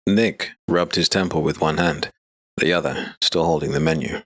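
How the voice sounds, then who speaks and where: A man narrating a dark fantasy audiobook in a steady, measured way. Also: a bass voice.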